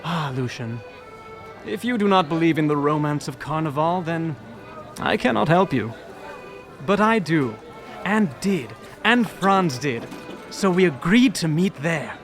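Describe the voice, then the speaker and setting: A young gentleman adventurer in an audio drama. His voice is fairly high and lively, dramatic and florid, with music faintly underneath. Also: a little slow. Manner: grandiloquent, dramatic. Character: theatrical, excitable young Parisian gentleman, romantic adventurer. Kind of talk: audio drama acting, storytelling.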